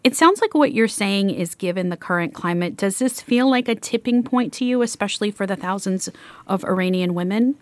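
A woman hosting a public radio interview, introducing a guest in a measured, polite way. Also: mid-range voice, expressive, no echo. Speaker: radio show host.

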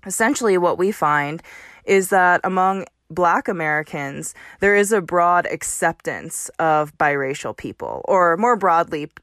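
A woman professor answers interview questions in a careful, academic manner. Her voice is very low for a woman, and the recording is dry. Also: animated, unhurried, a bit bright, dry recording.

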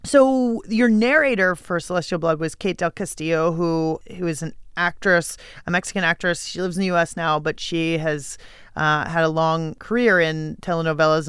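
A woman's voice: sits low, fairly bright tone, clear, resonant tone, no echo, studio quality. A woman hosting a radio interview, putting questions in a conversational way. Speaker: host, interviewer.

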